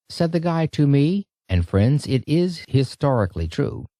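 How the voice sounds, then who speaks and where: Mellow male audiobook narrator, lively intonation. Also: medium pitch, dry recording, clean studio sound. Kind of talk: expressive scripted audiobook narration.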